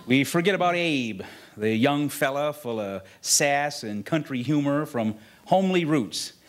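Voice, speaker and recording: An actor playing a folksy, sincere film star guesting on 1940s radio, plainspoken, reflective and unhurried. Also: male voice, on the high side, lively intonation. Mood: reflective, warm.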